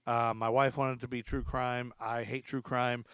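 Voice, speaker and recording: A man hosting a podcast in casual, chatty, loosely phrased talk, quick to laugh. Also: mid-range voice, level tone, over a noisy crowd. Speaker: podcast host.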